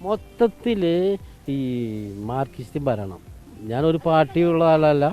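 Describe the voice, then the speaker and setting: A man with a central Kerala Malayali accent giving informal opinions as a street interviewee, halting, repetitive and emphatic. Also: a little high, very animated, warm tone, faint music behind. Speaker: local interviewee. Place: outdoors under a shade tree.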